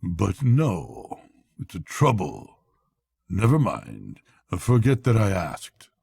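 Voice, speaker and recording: A man voicing a languid storybook toad, feeble and murmuring, playing the mournful martyr as if gravely ill. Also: low voice, wildly swooping pitch, takes it slowly, a little mellow, dry recording, full character voice. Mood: reproachful, mournful. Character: languid, self-pitying male toad feigning grave illness.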